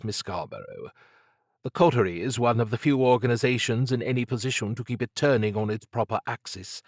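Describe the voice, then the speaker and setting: A man acts out an androgynous agent of the occult for an audiobook, with the voice lifted well above a low male register. The delivery is languid and purring. Every phrase is drawn out with urbane condescension. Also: no room sound, studio quality, natural voice. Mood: haughty, musing. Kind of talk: audiobook character acting.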